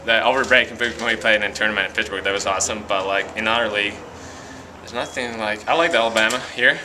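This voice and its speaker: A young man from Latvia with a Latvian accent, a college hockey player giving a halting post-game interview full of fillers and restarts in an arena hallway. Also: lowish voice, noisy background. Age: young adult.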